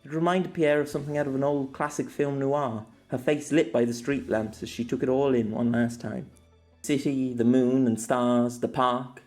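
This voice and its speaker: A man reading a novel aloud as an audiobook, steady and descriptive, in a middle pitch. Soft music plays faintly beneath his narration.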